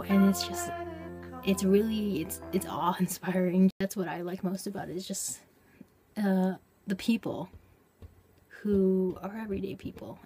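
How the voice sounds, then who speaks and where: A woman, talking casually as a fan, halting and emphatic, repeating words. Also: fairly low pitch, gravelly. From Canada. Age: 20s. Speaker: fan interviewee. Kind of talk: casual interview talk.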